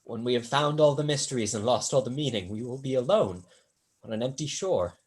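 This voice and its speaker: A man playing a wry, melancholy young Regency tutor in a staged play reading, measured and formal. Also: medium pitch, animated, natural voice.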